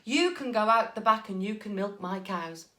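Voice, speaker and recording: A woman voicing a hearty farmer who speaks loudly and kindly, recorded in an echoey room. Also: mid-range voice, expressive, moderate pace, close to an everyday voice. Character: pleased hearty farmer, man.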